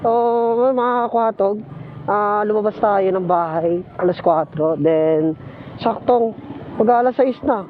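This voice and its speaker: A man's voice: high-pitched, slow, soft background music. A Filipino man chatting casually and teasingly as a motorcycle taxi rider vlogging on the road. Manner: playful, teasing. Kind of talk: casual joking chat. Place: outdoors, riding a motorcycle. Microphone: helmet intercom headset. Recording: dull helmet intercom, loud road noise. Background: vehicle and road noise.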